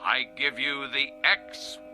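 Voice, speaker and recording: An actor playing a pompous, self-satisfied factory boss in a radio drama, presenting to shareholders with a showy salesman's delivery and grand pauses. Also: medium pitch, fairly even intonation, bright, forceful tone, soft background music. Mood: gloating, triumphant. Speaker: company head addressing shareholders. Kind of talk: radio drama acting as a corporate video presentation.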